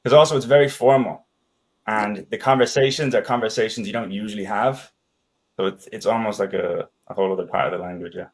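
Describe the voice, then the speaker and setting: A man's voice: fairly low pitch, fairly even intonation, brisk, husky. A man whose first language is Danish, a guest talking casually and rambling with fillers like "right?". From Ireland and Denmark. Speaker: podcast guest, sales expert. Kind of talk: casual conversation.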